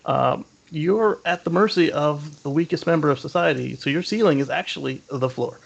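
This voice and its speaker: A man's voice: a little high, normal speed, vocal fry, breathy edge, lo-fi sound. A man co-hosting a podcast in casual, opinionated discussion, often repeating words as he feels out a point. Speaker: podcast co-host.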